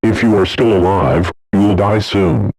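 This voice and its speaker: A man playing a bleak, resigned announcer of a final doomsday broadcast, with a husky, rough voice. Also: low voice, fairly even intonation, normal speed, a little mellow, no room sound, low-quality recording.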